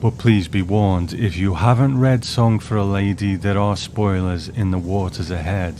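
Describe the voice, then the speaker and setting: A man's voice: deep voice, normal speed, background noise. A man hosting a show with scripted narration, introducing and discussing a story. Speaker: host.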